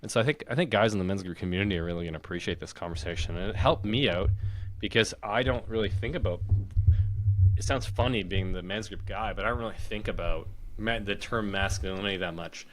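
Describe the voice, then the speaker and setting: Deep-voiced, easygoing male podcast host. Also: fast talker, a little hiss or hum, rough recording. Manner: relaxed, easygoing, laughs often. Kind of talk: casual conversation.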